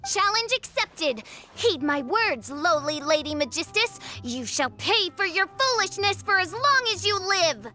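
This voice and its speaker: A woman's voice: very high voice, very animated, unhurried, hardly any pauses, bright, forceful tone, full character voice. A woman voicing a proud, theatrical, combative young female rival in a video game. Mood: haughty, challenging. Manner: haughty, dramatic.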